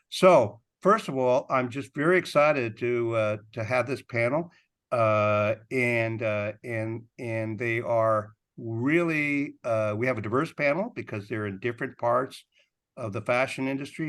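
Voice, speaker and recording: A man moderating a video-call panel, who speaks haltingly with many fillers and restarts. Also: medium pitch, fairly bright tone. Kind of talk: hesitant spoken introduction.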